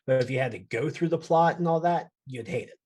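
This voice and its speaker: A man's voice: medium pitch, quick pace, a little mellow. A man chatting casually as a podcast panelist, emphatic and prone to swearing. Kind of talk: casual chat about films and games.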